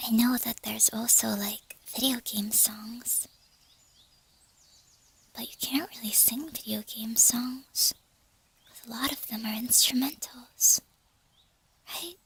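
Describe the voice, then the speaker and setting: Soft, high-voiced whispering woman. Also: fairly even intonation, creaky, husky. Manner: gentle, unhurried, chatting to listeners. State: hoarse. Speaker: streamer performing as a flower fairy character. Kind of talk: soft ASMR roleplay, whispering and a cappella lullaby singing.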